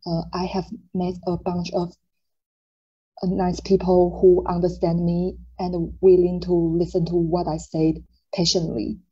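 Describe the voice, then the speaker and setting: Young woman from Taiwan, slow, halting, very mellow and husky voice, muffled audio; personal testimony. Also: on the low side, completely flat delivery. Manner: slow, halting, many filler sounds.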